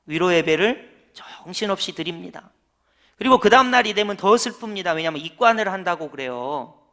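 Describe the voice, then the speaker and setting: Bright-voiced conversational male pastor. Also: fairly high pitch. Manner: conversational preaching, asks the congregation questions. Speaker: senior pastor. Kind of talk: sermon.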